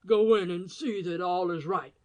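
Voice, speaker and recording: A woman reading an audiobook, voicing a grave, secretive gentleman who speaks low, hushed and stiff. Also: moderate pace, close to an everyday voice.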